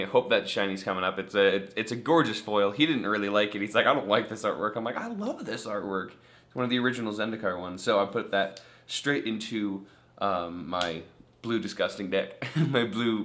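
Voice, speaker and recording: A man's voice: on the low side, a bit bright. A young man chatting casually and eagerly in a vlog, showing off trading cards with lots of fillers. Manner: chatty and enthusiastic, many fillers.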